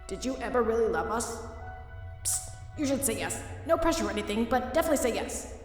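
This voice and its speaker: A woman's voice: fairly high pitch, lively intonation, fast, close to an everyday voice. A woman playing an innocent, hopeful young skeleton boy in a comic dub, earnest and pleading. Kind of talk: dramatic fan comic dub. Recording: distant, very echoey reverb under music.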